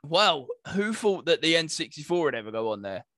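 Man, slightly high voice, close and dry. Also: sing-song, brisk, bright, forceful tone, no room sound. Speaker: podcast co-host. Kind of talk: casual gaming podcast chat.

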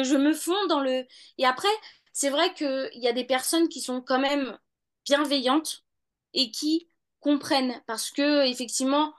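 High-voiced woman in her thirties. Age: 30s. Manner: hesitant, many fillers and restarts. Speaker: law student interviewee. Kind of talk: casual interview answers.

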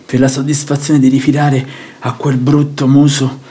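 An actor playing an indignant, duped wealthy householder in a radio drama, with an exasperated theatrical manner. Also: male voice, mid-range voice, level tone, normal speed, warm tone, husky, natural voice. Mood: bitter outrage.

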